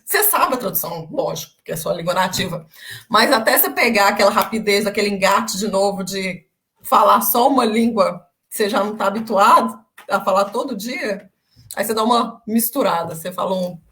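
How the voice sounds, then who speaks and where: Young brazilian woman on a video call, mid-pitched voice, chatty storyteller, lots of little "é" pauses. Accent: Brazilian Portuguese. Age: young adult. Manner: lively storytelling with many filler pauses. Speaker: interviewee, former language student. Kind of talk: casual interview chat.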